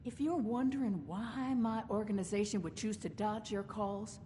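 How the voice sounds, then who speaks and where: A woman's voice: mid-range voice, moderate pace, dark tone, slight background noise, close to an everyday voice. Woman playing a folksy, proud caravan boss, heavy reverb. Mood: sardonic, indignant. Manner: folksy, sardonic, wordy and genteel. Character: proud, shrewd female caravan company boss with folksy charm. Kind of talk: video game character dialogue.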